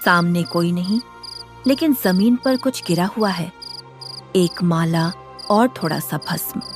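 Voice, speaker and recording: A woman's voice: fairly low pitch, slow. Woman narrating a dramatic story, music behind. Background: loud background music.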